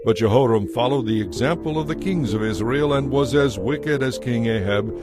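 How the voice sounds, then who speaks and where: A man's voice: mid-range voice, normal speed, loud music behind the voice. A man reading scripted narration for an audio Bible.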